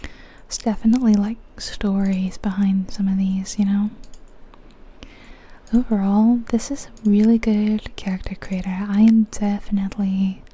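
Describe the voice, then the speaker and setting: A woman whispering softly and breathily in a casual, chatty ASMR style as she comments on a game, gentle and musing. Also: medium pitch, level tone, unhurried, husky. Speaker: ASMR channel host.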